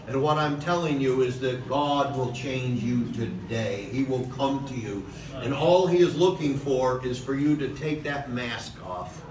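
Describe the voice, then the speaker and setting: A middle-aged man preaching a sermon from a podium in a large church hall, passionate, with "okay?" asides and slow emphatic lines. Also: medium pitch. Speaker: pastor. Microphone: far camera in the hall. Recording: echoey hall recorded from a distance with noise.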